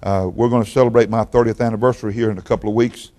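Older preacher, folksy and rambling. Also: male voice, fairly low pitch, steady tone, racing, a little mellow. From Oklahoma, raised in Texas. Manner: folksy, rambling, repeats words. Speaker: guest pastor. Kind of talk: sermon.

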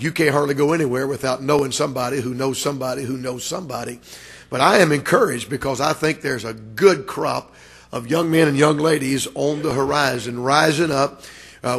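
A man preaching a revival sermon with a raspy voice, building to loud, emphatic, drawn-out delivery. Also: mid-range voice. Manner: rises to loud emphatic preaching, stretched words. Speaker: guest preacher.

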